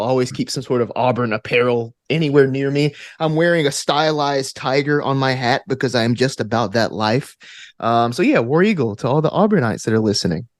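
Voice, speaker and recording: A man from Alabama chatting casually as an interview guest, in a playful, animated way. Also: mid-range voice, no echo, clean studio sound. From Alabama, United States. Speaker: podcast guest, actor and gamer.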